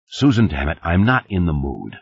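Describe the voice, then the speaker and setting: Guy reading as tired husband in an audiobook, deep husky voice, patience running out. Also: huge pitch swings, normal speed, muffled recording, full character voice. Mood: irritated. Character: weary father and husband, patient until irritated.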